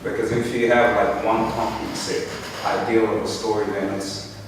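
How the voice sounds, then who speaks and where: A man, a workshop participant, sharing a reflective thought in a measured way. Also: lowish voice, flat delivery. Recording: distant echoey hall with noise.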